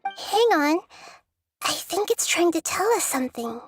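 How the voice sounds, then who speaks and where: A woman voicing a small, gentle, wise child goddess in a video game, speaking softly and thoughtfully. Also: extremely high pitch, very animated, unhurried, over music, exaggerated voice. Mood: gentle, reassuring. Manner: soft-spoken, thoughtful, articulate. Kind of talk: video game character acting.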